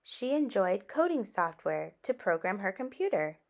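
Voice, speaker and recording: A woman reading a children's story aloud in an expressive way, with animated asides addressed to young listeners. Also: mid-range voice, moderate pace, muffled recording. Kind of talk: expressive picture-book read-aloud.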